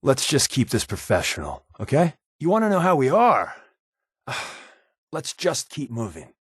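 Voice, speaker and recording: An actor playing a wry, wisecracking American undercover spy companion in a video game, with a glib, sardonic and casual way of talking. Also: male voice, medium pitch, huge pitch swings, unhurried, fairly bright tone, breathy edge, dry recording, clean studio sound, heavily acted. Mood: guarded, disapproving. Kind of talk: video game voice acting, conversational dialogue.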